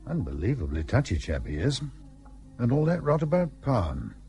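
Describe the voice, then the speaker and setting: A sociable, pompous colonial husband in a period radio play. His mid-pitched voice swings with lively emphasis and clipped, hearty phrasing. Faint music lies underneath. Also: normal speed. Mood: puzzled, suspicious. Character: blustery, sociable British colonial husband and host.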